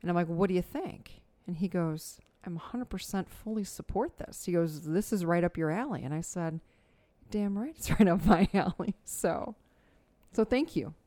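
A middle-aged woman with a soothing voice, co-hosting a casual podcast at home, easygoing and quick to laugh. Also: fairly low pitch, expressive, mellow, dry recording. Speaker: podcast co-host. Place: home dining room.

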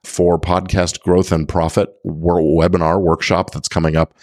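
A man's voice: sits low, level tone, normal speed, darkish tone, no echo, clean studio sound. A man hosting a podcast, explaining things in a methodical, conversational monologue. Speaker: podcast host giving legal guidance.

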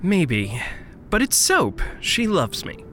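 An actress playing an earnest, doting, stubbornly hopeful cat lover in a naturalistic audio drama. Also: female voice, on the low side, huge pitch swings, takes it slowly, a bit bright, full character voice. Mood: stubborn, sincere. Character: earnest, affectionate, stubbornly hopeful cat-loving magic user. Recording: music under the voice.

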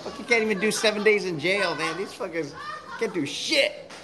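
A man's voice: sits high, moderate pace, crowd noise. A tipsy man calling in with brash, profane, rambling banter. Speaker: caller. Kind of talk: rowdy banter.

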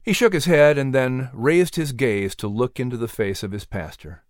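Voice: medium pitch, moderate pace, dry recording, clean studio sound. An audiobook narrator reading a scripted story expressively.

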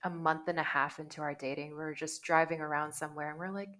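A woman in her thirties from Canada, a guest chatting casually, animated and full of "like"s. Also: low voice, quick pace. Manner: chatty and animated, many "like"s, laughs easily. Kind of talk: casual conversation.

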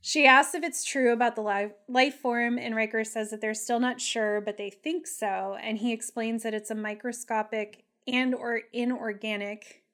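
A woman's voice: fairly high pitch, fairly even intonation, moderate pace, few pauses, a bit bright. A woman co-hosting a casual TV recap podcast, speaking bluntly and emphatically and swearing freely. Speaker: podcast co-host. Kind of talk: casual TV episode recap and commentary chat.

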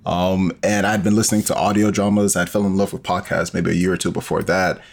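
A man's voice: low voice, little pitch movement, brisk, creaky. A young man talking casually as a guest in an interview, with plenty of conversational filler. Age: young adult. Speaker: guest, podcast co-creator.

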